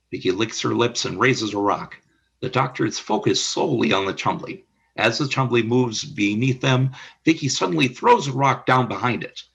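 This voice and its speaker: A man's voice: lowish voice, creaky, fairly bright tone, breathy edge, dull audio. A man reading scripted scene narration for a radio play, describing the action in the present tense.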